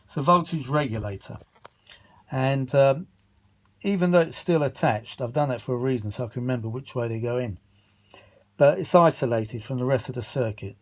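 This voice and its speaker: A man's voice: medium pitch, moderate pace. A tired man with a British accent giving a casual, rambling, unscripted repair commentary, muttering and grumbling with mild swearing as he searches for words. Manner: grumbling, mildly sweary, exasperated. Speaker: vintage audio repair vlogger.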